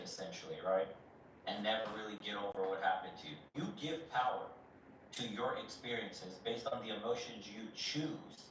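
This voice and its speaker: A man's voice: on the low side, recorded from a distance. A man giving casual, blunt reaction commentary, swearing freely and using slang. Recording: roomy echo, off-mic, noisy.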